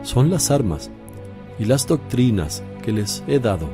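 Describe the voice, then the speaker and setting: A man playing Jesus in a religious radio drama, a calm, solemn teacher who speaks in a measured, firm way. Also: mid-range voice, over music. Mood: earnest, solemn.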